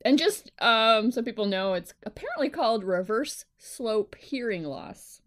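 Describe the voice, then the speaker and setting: A woman's voice: a little high, very animated, a little slow. A woman co-hosting a podcast in casual chat, making dry, joking remarks. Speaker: podcast co-host.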